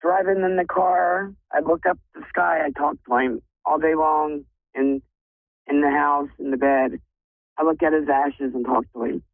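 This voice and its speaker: A woman's voice: low voice, steady tone, normal speed, dry recording. A woman calling in by phone, grateful and emotional as she talks. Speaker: caller. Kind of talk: phone call-in. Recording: tinny dry phone line.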